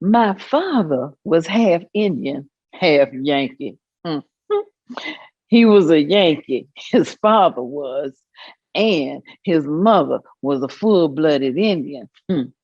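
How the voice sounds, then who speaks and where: Woman acting animated, slow-talking old grandmother. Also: deep voice, breathy edge, dry recording, dull audio, heavily acted. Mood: quiet, reflective. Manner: folksy, expressive, unhurried storytelling with exclamations. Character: elderly Southern grandmother reminiscing fondly and animatedly. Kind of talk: staged reading of oral history.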